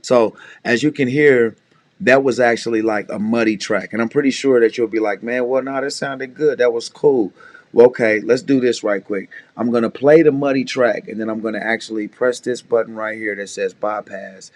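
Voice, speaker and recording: Deep voiced producer guy, easygoing tutorial talk, kinda monotone. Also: moderate pace, dry recording. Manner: laid-back, fills with "you know what I'm saying". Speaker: music producer teaching mixing. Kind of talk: casual screen-share tutorial.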